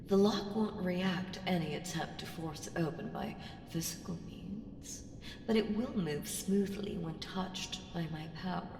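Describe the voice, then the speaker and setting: Actress as an ancient evil female entity, low-pitched, seductive, condescending, commanding, whisper-prone, ASMR roleplay, reverberant with noisy ambience. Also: a little mellow. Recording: roomy long reverb with clear background noise.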